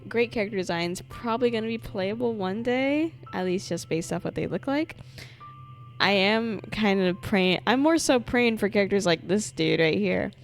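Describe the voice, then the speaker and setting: A woman's voice: medium pitch, lively intonation, moderate pace. A young woman giving casual, slangy, jokey commentary as she reacts to a video game. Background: game music.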